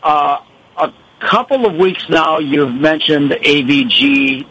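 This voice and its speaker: A man from Rochester, New York, calling in to a radio show; he has a gravelly voice and talks haltingly with many restarts. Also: a little high, big pitch swings, fairly bright tone, low-quality recording. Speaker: caller. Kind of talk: radio call-in.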